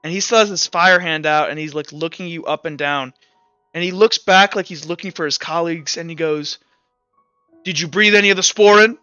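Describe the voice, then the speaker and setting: Bright-voiced young game master. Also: male voice, a little high, steady tone, fairly quick, smooth, dry recording. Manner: rambling, lots of "like" and "kinda". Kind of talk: narrating a tabletop game. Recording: dry muffled mic with faint music.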